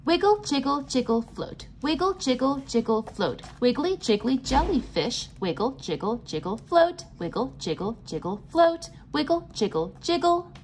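A woman reading a picture book aloud to children and explaining it simply, in a sing-song, teacherly way with clear enunciation. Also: sits high, very animated, moderate pace, few pauses, a little mellow, a little hiss or hum. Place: indoors, at a table.

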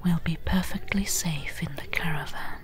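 A woman playing a friendly, seasoned desert trader in a soft, breathy, gentle whispered ASMR roleplay. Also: low-pitched, lightly acted. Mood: calm, friendly. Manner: slow, gentle, unhurried.

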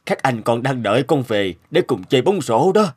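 A man voicing an upbeat, encouraging cartoon father, hearty and expressive, with music behind him. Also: mid-range voice, moderate pace, breathy edge. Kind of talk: cartoon dubbing.

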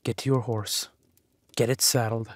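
An actor playing a ruthless, possessive villain duke of low birth in an audio roleplay, with menacing, deliberate phrasing. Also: male voice, steady tone, no room sound, close to an everyday voice. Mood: angry, menacing.

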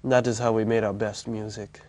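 Man playing a deadpan, self-important keyboardist. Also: on the low side, brisk, dry recording, lightly acted. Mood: solemn, deadpan. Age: young adult. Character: solemn, self-important eccentric young keyboard player. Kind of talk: deadpan mockumentary interview. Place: indoor lounge.

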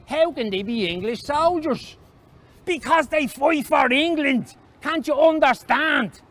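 A man voicing a flustered, slightly cross Irish uncle, an old soldier explaining haltingly in a story reading. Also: very high-pitched, a bit bright, voice pushed up. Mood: impatient.

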